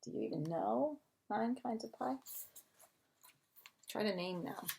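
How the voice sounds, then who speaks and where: A woman's voice: medium pitch, expressive, measured pace, gravelly, darkish tone, a little hiss or hum. A woman reading a children's picture book aloud as a bedtime story, expressively, with chatty asides to young listeners.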